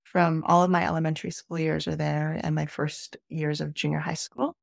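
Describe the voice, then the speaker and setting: A middle-aged woman with a Canadian accent whose first language is Spanish, telling her own story as a podcast guest in a reflective, measured way. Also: deep voice, level tone, creaky, dry recording, clean studio sound. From northern Alberta, Canada, born in Peru. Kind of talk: personal storytelling in an interview.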